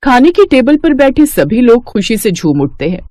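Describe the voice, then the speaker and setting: A woman's voice: on the high side, very animated, normal speed, dark tone, no room sound. A woman narrating a scripted cartoon story.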